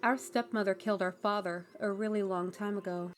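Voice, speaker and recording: An earnest young rebel princess from a fantasy audio drama, acted out by a woman. She speaks in a measured, polite way, diplomatic and reassuring, with a streak of gentle exasperation. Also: mid-range voice, steady tone, lightly acted. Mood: grave. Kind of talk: fantasy audio roleplay acting.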